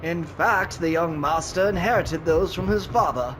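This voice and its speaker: Man playing elderly male butler, high voice, formal, deferential, loyal, radio play, faint noise. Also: normal speed, a bit bright, lightly acted, low-quality recording. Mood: polite, welcoming. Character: courteous old male butler, loyal and dignified.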